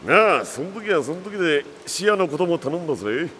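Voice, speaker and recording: A rough, jovial middle-aged father, with a highish voice that swings wildly. He talks bluntly and heartily, as in an anime audio drama, in a reverberant hall with faint noise. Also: very animated, a little fast, a bit bright. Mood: teasing, jovial. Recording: echoey hall ambience, faint background noise.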